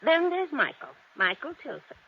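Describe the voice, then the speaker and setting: A wealthy, theatrical widow in a vintage radio mystery. She speaks fairly high with lively swoops and a creaky edge. She sounds guarded and dismissive on an old, noisy recording. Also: moderate pace, muffled recording, heavily acted. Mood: dismissive, confiding. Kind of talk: old-time radio drama acting.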